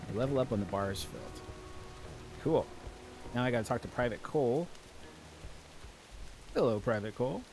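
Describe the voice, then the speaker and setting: A man's voice: medium pitch, sing-song, moderate pace, pauses often. Sniffly guy chatting thru a game, medium voice, game music going under him. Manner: chatty, reads quest text aloud. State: sniffly from allergies. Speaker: podcast host playing a game. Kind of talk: casual gameplay commentary.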